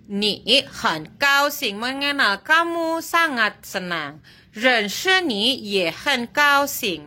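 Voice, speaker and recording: Slow, bright-voiced woman teaching Mandarin. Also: on the high side. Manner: reads Mandarin phrases slowly, then explains in Indonesian; self-correcting, checks understanding with "jelas?". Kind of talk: slow language lesson, reading and translating example sentences.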